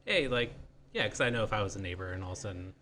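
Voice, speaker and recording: Dude hosting a podcast, talks really fast, super casual, kinda noisy recording. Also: male voice, on the low side, lively intonation, bright, forceful tone. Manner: informal, thinks aloud, paraphrases the guest. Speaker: podcast host.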